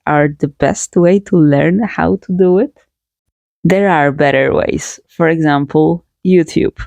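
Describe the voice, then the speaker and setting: A woman's voice: low voice, gravelly, warm tone, no room sound. Woman reviewing crochet kits. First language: Polish. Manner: thoughtful, hedging, plain-spoken. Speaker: crochet channel host. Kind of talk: product review vlog.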